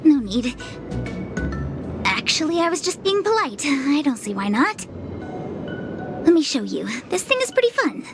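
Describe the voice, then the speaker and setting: A young female diviner guide in a video game. She is a playful slacker, chatty and quick to fluster. Her voice sits fairly high and swings freely in pitch, over background music. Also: exaggerated voice. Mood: flustered, delighted. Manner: chatty, breezy, expressive.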